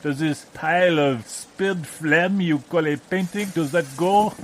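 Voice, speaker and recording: A man's voice: on the high side, a little slow, background noise, lightly acted. A man playing a rude French mover with a French accent, contemptuous and full of florid insults in improvised audio comedy. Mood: imperious.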